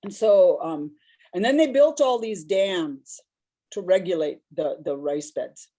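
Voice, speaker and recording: A woman's voice: on the low side, animated, unhurried, dark tone. A woman with a big voice, telling personal stories on a discussion panel in a reflective, rambling way.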